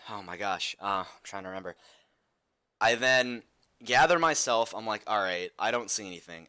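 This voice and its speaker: Bright-voiced young man telling a story. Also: on the low side. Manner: animated retelling, acts out dialogue. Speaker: storytelling video creator. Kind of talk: casual personal storytelling.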